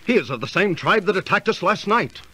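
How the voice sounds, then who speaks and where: A man's voice: high-pitched, lively intonation, fairly quick, sharp tone, breathy edge, exaggerated voice. An actor playing a solemn, loyal tribesman of ancient times with formal, archaic phrasing in a radio drama. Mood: alarmed. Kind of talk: old-time radio drama acting. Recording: faintly noisy old radio recording.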